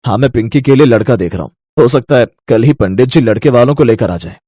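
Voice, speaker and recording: A man voicing a stern, worried elder brother in a cartoon story, with a husky voice and emphatic, scolding delivery. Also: medium pitch, lively intonation, fast, warm tone, no room sound, close to an everyday voice. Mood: frustrated.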